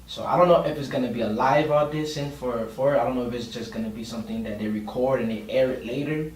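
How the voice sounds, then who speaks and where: Male interview guest, informal and slangy, middle pitch, faint noise on a roomy recording. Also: quick pace, a little mellow, recorded from a distance. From Miami, Florida, USA. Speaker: guest singer and producer. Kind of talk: casual podcast interview.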